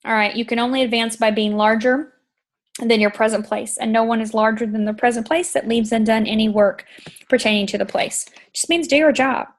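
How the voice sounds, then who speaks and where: High-voiced woman, emphatic and enthusiastic. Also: steady tone, a little fast. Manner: enthusiastic, emphatic, repeats points. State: throat tickle, occasional coughs. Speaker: podcast host. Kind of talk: casual chat reading from a book.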